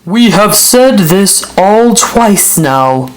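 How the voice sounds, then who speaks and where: Woman voicing a slow, solemn guardian angel. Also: medium pitch, expressive, a little hiss or hum, low-quality recording. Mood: stern. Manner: slow, declamatory. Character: solemn, stern guardian angels making grand announcements.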